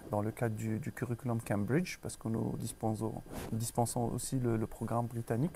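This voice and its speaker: Un homme d'âge moyen, originaire du Maroc, directeur d'école en entretien, parle de façon posée avec beaucoup d'hésitations. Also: male voice, mid-range voice, level tone, gravelly, noisy background. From Morocco. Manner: measured, many pauses and restarts. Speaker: school director. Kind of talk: interview.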